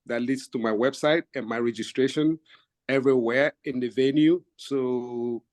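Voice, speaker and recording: A man's voice: medium pitch, moderate pace, no room sound. Man, dry sound. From Nigeria. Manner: steady, methodical, stacks up examples with fillers. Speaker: real estate agent. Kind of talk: casual explanation in a team video meeting. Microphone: video call.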